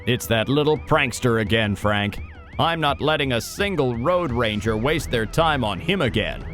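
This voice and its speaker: Man playing a stern cartoon sheriff truck, protective, commanding, lively intonation, music behind. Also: medium pitch, normal speed, natural voice. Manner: commanding, moralizing. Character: authoritative male sheriff vehicle, stern but protective. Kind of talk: children's cartoon voice acting.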